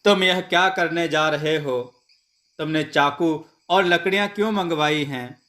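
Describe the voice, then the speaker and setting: A man's voice: fairly high pitch, measured pace, sharp tone. A man voicing a small, frightened female bird who pleads and bargains in a storybook tale. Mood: alarmed.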